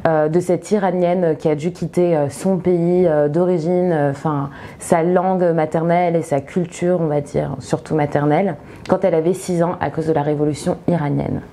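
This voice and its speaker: A young woman talking through a book review, reading a synopsis aloud and then speaking with many pauses, fillers and restarts. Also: on the low side, dark tone. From Egypt.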